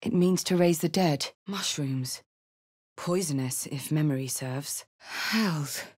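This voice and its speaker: A woman's voice: low-pitched, slow pace, darkish tone, breathy edge, heavily acted. A woman playing a tough, battle-scarred female warrior in a fantasy video game, blunt, wry and defiant in manner. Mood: observant, wry.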